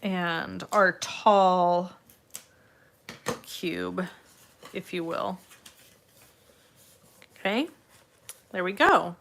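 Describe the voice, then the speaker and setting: A woman with a slightly raspy, congested voice hosts a casual step-by-step craft tutorial, addressing viewers in a friendly, direct way. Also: fairly low pitch, fairly even intonation, drawn-out words, long pauses, a bit bright, slight background noise. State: congested, irritated throat from allergies.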